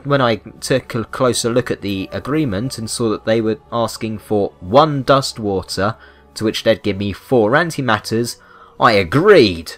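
A British man giving rambling, unscripted video game commentary with a wry, mock-indignant manner. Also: mid-range voice. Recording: music behind the voice. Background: background music.

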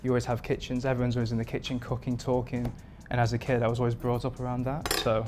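A relaxed man in his thirties talks through his cooking routine in an even, flat tone, drifting through fillers as he goes. He speaks at a middling pitch in a modern kitchen, with faint music playing underneath. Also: fast talker. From England. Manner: relaxed, rambling with many fillers. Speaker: performance chef. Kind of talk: interview answers. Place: modern home kitchen indoors.

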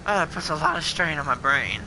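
A man's voice: fairly high pitch, expressive, fairly quick, rough recording. A tired man streaming a driving game, mumbling along and cursing at the action. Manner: mumbling, bursts of exclamations at the game. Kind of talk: casual gameplay commentary. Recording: loud game car noise behind.

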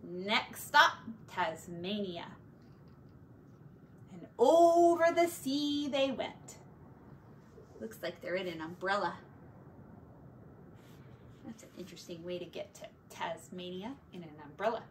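A woman's voice: mid-range voice, animated, slow pace, long pauses. A woman teacher reading a storybook aloud to young children, expressively, with dramatic emphasis and little asides to her listeners. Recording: slightly distant mic, faint background noise.

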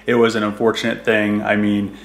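A man's voice: on the low side, monotone, a little fast. A man in his thirties hosting a casual car vlog, talking in an easygoing, conversational way with plenty of fillers. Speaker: YouTube host. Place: car garage, then indoors at home.

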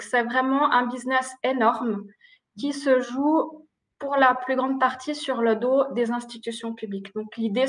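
Slow high-voiced woman explaining. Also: fairly bright tone. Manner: structured, measured, some fillers. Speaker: library director, guest. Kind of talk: panel answer, explanatory. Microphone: video call, laptop webcam.

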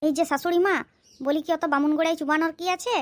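A woman's voice: high voice, fairly even intonation, fast, natural voice. A woman voicing a whiny hapless bridegroom. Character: hapless young village bridegroom.

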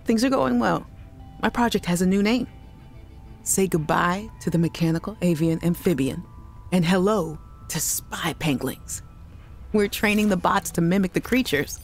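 A woman's voice: on the low side, normal speed, faint music behind, lightly acted. A woman voicing an upbeat young woman recording chatty, giggly audio messages to her little sister in a game. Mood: cheerful. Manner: cheerful, chatty, giggly, affectionate. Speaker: game character in audio logs. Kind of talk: voiced game audio log.

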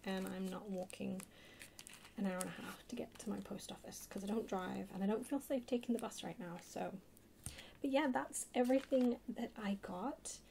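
A soft-spoken woman chatting casually in a rambling way for an ASMR haul video. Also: mid-range voice, fairly even intonation, normal speed. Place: indoors at a table, hands-only camera. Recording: clear background hiss or noise.

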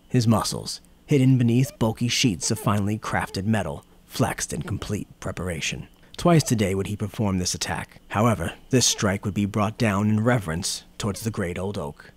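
Low, husky male fantasy narrator. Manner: solemn, dramatic storytelling. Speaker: audiobook narrator. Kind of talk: scripted dark fantasy narration.

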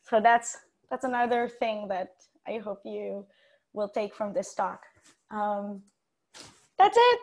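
A woman's voice: mid-range voice, expressive, slow pace, long pauses, a little mellow. A young woman giving an informal tech talk over a video call, chatty, giggly and self-deprecating. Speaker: conference speaker, software developer. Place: indoors, room with bookshelves.

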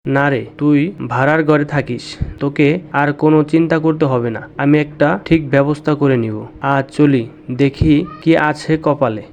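A man's voice: a little high, moderate pace, lo-fi sound, slight background noise, natural voice. A man playing a wronged, proud and brooding young orphaned nephew in a cartoon story. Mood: resigned. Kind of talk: cartoon story dialogue and first-person narration.

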